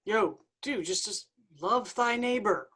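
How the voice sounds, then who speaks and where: A woman's voice: medium pitch, takes it slowly, a bit bright, slightly rough. A woman playing a breezy, slangy member of a scheming group in a comic stage reading. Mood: casual coaxing.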